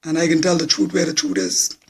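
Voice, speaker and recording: A hoarse man in his forties with a Bahamian accent, talking bluntly and plainly to viewers on a live social media stream. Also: a little high, sing-song, brisk, darkish tone. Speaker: political supporter.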